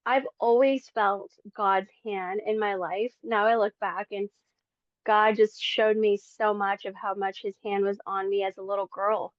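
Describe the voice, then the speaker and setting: A woman's voice: mid-range voice, steady tone, a little slow, dry recording, lo-fi sound. A woman in her forties from the Philippines, sharing her faith testimony as a podcast guest in earnest, chatty talk. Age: 40s. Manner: earnest, chatty, many fillers. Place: home in Las Vegas.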